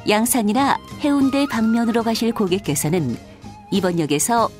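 A woman's voice: on the high side, moderate pace, darkish tone, soft background music. A woman reading scripted subway station announcements in a formal, carefully enunciated manner. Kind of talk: scripted public transit announcement.

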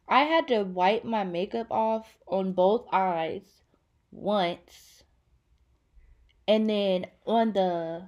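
A young woman talking casually through a makeup tutorial, relaxed and chatty with many fillers, in a small bedroom on a device mic. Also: mid-range voice. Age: young adult. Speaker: beauty vlogger.

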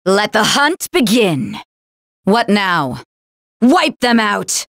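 A woman voicing a cruel, haughty female general in a video game, taunting with a theatrical, drawn-out delivery. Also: medium pitch, sing-song, fairly bright tone, no room sound, studio quality, exaggerated voice. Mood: menacing, angry. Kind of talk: video game character voice lines.

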